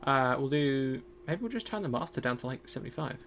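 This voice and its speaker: A man streaming a game in casual commentary. He is chatty and self-deprecating, thinks aloud, and has a loud voice. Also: mid-range voice, expressive, brisk, slight background noise.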